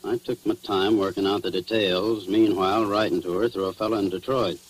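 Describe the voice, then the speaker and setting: A man's voice: fairly low pitch, steady tone, fairly quick, close to an everyday voice. An actor playing a cynical crooked policeman with slangy tough-guy talk, in 1940s radio drama style. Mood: confessing, rueful. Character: crooked male cop confessing to murder, slangy, cynical. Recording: noisy, humming 1940s radio recording.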